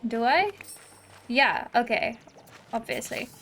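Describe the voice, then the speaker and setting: A woman with a high voice hosts a let's-play in a chatty, playful way. She talks casually and exclaims as she goes, with light music behind her. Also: animated, slow, a bit bright. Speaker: gaming video host. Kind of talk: casual let's-play commentary, reading game dialogue aloud.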